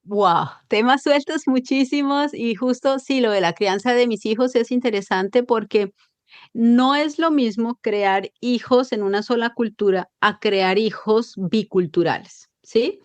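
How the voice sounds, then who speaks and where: A Colombian woman, a psychologist, talking in a lively and expressive way in an interview, explaining with examples. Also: on the high side, measured pace, no room sound. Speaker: psychologist guest. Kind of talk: conversational interview.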